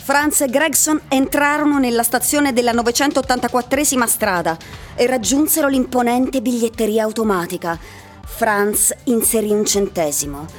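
Woman narrating a radio drama over soft music. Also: on the high side, normal speed.

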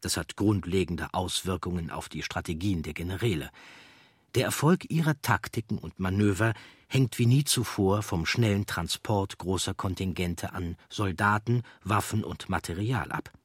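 A man reads scripted documentary narration in a measured, factual way. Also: sits low, slightly rough, no room sound, studio quality.

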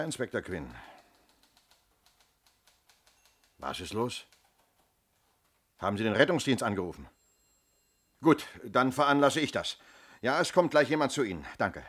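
An actor playing a sceptical, methodical police inspector in a 1970s German radio play, deliberate and authoritative, with a husky voice. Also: male voice, medium pitch, a bit bright. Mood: brisk, commanding.

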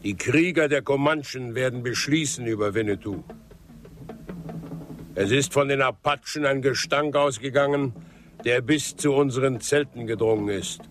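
A man's voice: mid-range voice, normal speed, natural voice. An actor playing a proud, scornful Comanche chief and father in solemn, declamatory radio drama oratory. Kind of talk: German radio drama acting. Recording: studio radio drama with drum music behind.

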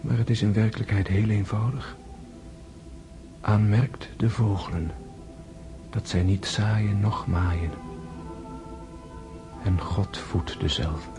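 Dying wounded man for radio drama: low, very slow, hushed, pensive, detached from the world. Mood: resigned.

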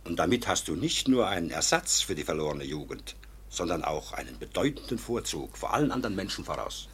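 A fatherly older man of high office in a radio play. His voice is low and husky, and he speaks with patient, measured reassurance, gently admonishing. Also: big pitch swings, full character voice. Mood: earnest. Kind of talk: German radio drama acting.

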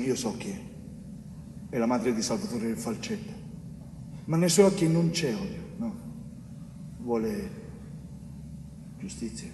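Un attore che fa un giovane innocente angosciato, voce acuta. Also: male voice, fairly high pitch, lightly acted. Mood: hushed, grave. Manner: declamatory, halting phrases with emphatic repetition. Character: anguished young Sicilian bricklayer wrongly accused of murder. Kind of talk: dramatic theatre monologue. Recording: echoey theatre hall, distant mic, faint noise.

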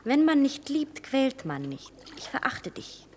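A woman's voice: high-pitched, very animated, unhurried, breathy edge, exaggerated voice. An actress playing a young woman who is mocking and disdainful toward her lover in a radio play, in a reverberant space. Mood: contemptuous. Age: young adult. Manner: taunting, disdainful. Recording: reverberant space with background noise.

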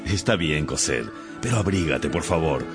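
An actor playing a kindly, protective fatherly man in a radio drama, calm and courteous in manner. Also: extremely low pitch, normal speed, music in the background. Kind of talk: Spanish-language radio drama acting.

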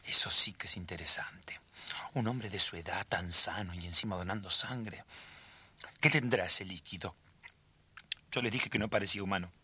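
An actor playing a suspicious, anxious grown son in a radio drama, with a Rioplatense Argentine accent and a hesitant, repetitive way of talking. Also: male voice, low-pitched, normal speed, pauses often, pushed and bright, slightly rough, full character voice. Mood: intrigued.

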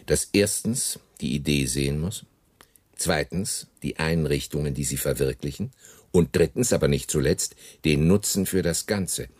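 A man's voice: a bass voice, breathy edge, dry recording, heavily acted. An actor playing a formal, methodical, coldly insistent male Nazi economic official in a German radio play.

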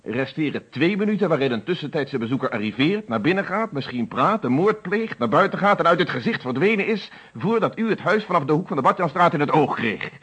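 A man's voice: a little high, big pitch swings, a little fast, few pauses, a bit bright, breathy edge, full character voice. An actor playing a stern, methodical police inspector in a radio play, speaking formally and insistently as he interrogates. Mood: methodical, pointed.

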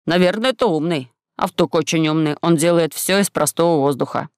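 A woman voicing a boy's excitable, protective friend in a storybook, blustery and emphatic, drawing words out. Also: deep voice, fairly quick, no echo, studio quality, natural voice. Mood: impressed, explaining.

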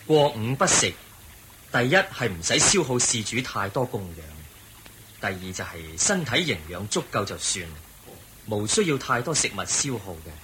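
An actor playing the Buddha as a kindly, patient monk in a Cantonese radio drama, with a Hong Kong accent. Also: male voice, medium pitch, big pitch swings, noisy background, close to an everyday voice. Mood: patient, explaining. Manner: measured, patient explaining. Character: the Buddha, calm kindly male wandering monk and teacher.

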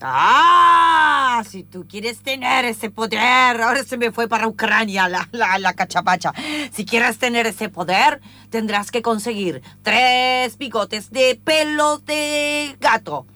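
A woman's voice: fairly high pitch, slow, few pauses, bright, forceful tone, no echo. A woman playing a theatrical witch who chants recipes and spells in improvised radio theatre. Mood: eager, scheming. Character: old cackling witch brewing potions, chanting spells.

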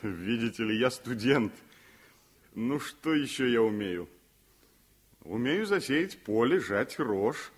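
Student tutor, radio drama: husky mid-pitched voice, swooping lively intonation, courteous. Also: male voice, takes it slowly, long pauses. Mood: reflective, friendly. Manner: courteous, animated. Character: friendly student tutor, playful with children. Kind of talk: 1950s Soviet radio play acting.

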